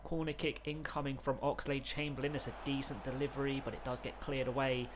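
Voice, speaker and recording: A man, who has a cold, doing excited play-by-play commentary over a football video game. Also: mid-range voice, fast talker, noisy background. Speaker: gaming YouTuber.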